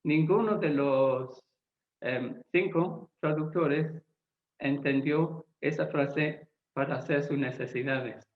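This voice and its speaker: A man who is not a native Spanish speaker, a Bible translator giving a lecture-style talk, deliberate and often pausing to search for words. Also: fairly high pitch. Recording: echoey, tinny phone-like sound.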